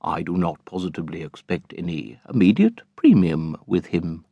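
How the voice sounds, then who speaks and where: A man voicing a pompous, sanctimonious middle-aged father in an audiobook, speaking slowly and distinctly in an unctuous, moralising way. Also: sits low, sing-song, vocal fry, warm tone, dry recording, full character voice. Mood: smugly virtuous.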